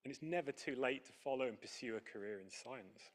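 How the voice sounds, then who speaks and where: A man's voice: mid-range voice, fairly quick. A man hosting a science event, thanking one speaker and introducing the next in an upbeat, scripted way. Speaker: event host.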